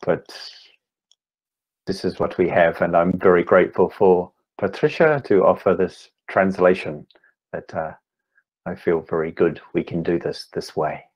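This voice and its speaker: A man in his fifties with a New Zealand accent, giving an online talk as a meditation teacher, speaking slowly and deliberately with gentle pauses. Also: deep voice, slightly rough, muffled recording. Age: 50s. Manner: slow, deliberate, gently pausing. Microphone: video call.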